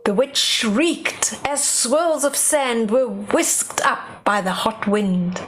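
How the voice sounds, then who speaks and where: A woman's voice: on the high side, takes it slowly, a little hiss or hum. An older woman reading a storybook aloud to young children, dramatic, with simple explanatory asides.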